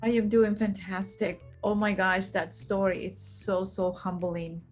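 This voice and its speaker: A woman's voice: mid-range voice, little pitch movement, unhurried, soft background music. A middle-aged woman from Guatemala telling her personal story as an interview guest, earnest and emphatic, repeating phrases for weight. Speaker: podcast guest, speaker and executive coach.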